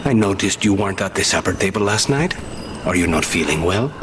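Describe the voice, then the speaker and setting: An actor playing a weary, demon-possessed assassin in a video game, dry and polite. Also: male voice, deep voice, expressive, a little fast, noisy background. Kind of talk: game cutscene acting. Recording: loud game music underneath.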